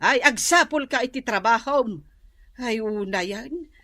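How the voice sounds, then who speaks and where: A woman's voice: fairly high pitch, animated, unhurried, sharp tone, dry recording, clean studio sound. An actress playing an impatient mother scolding her lazy grown son in a radio drama.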